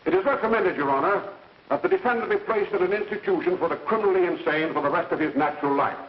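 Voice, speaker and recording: Formal state prosecutor male actor, American, raised pitch, declamatory 1930s screen style, distant and muffled. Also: quick pace, close to an everyday voice. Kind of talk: 1930s film acting, courtroom address. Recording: distant, echoey, muffled, noisy 1930s soundtrack.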